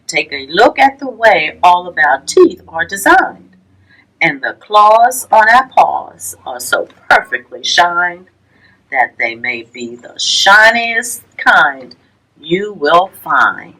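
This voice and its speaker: A woman with a slightly low voice reads a children's picture book aloud at a slow pace. She follows the rhymes in a sing-song way, pausing between short phrases as a storytime reader does. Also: sing-song, sharp tone, low-quality recording. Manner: measured, sing-song rhyming delivery with pauses.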